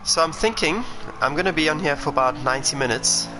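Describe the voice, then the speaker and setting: A man's voice: a little high, expressive, fast talker. Chatty South African man over engine noise. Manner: chatty, thinking aloud while driving. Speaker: racing driver streaming sim racing. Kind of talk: live gameplay commentary. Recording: game engine noise underneath. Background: racing game car sounds.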